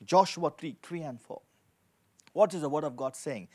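A man's voice: a little high, normal speed, mellow. A man in his forties preaching a sermon as a pastor, reading scripture and repeating key phrases with emphasis into a handheld microphone. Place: indoor studio with dark backdrop, at a lectern.

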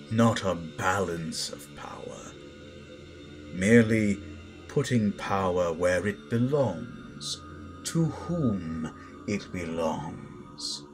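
A low, very slow male voice acted by a man of a menacing Sith master in an audio roleplay. He instructs with deliberate, controlled authority, often sinking into a breathy near-whisper. Music plays clearly behind him. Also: heavily acted. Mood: stern, commanding. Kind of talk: slow guided-meditation roleplay, breathy dramatic monologue. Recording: voice over loud background music.

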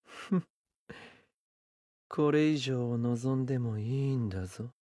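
An actor playing an arrogant, seductive serpent god in a game, speaking in a haughty, deliberate, condescending way. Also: male voice, medium pitch, mellow, no echo, clean studio sound. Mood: haughty amusement. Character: arrogant, seductive serpent god who toys with human desires. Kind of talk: Japanese game character voice lines.

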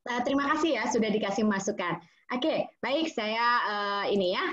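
A woman's voice: fairly high pitch, animated, a little fast. A woman with an Indonesian accent, a child psychologist giving an interactive parenting webinar, switching between English and Indonesian with many fillers. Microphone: video call. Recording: muffled video call audio.

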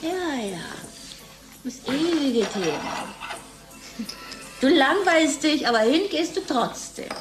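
An actress in a German radio play playing a bored, bitter, hard-drinking wife, sardonic and languid. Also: female voice, high-pitched, lively intonation, takes it slowly, pauses often, music in the background. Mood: weary, bored.

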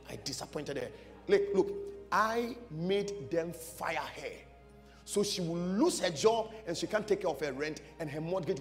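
Male pastor, higher-pitched, emphatic sermon storyteller in a reverberant hall. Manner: emphatic, repeats short phrases, acts out dialogue. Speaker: pastor preaching. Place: large church stage before a seated audience. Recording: music behind, long hall reverb.

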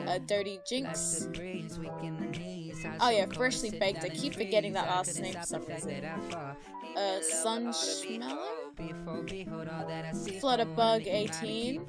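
A woman giving casual reaction commentary as she watches a cartoon. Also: mid-range voice. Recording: music playing behind the voice. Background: background music and cartoon audio.

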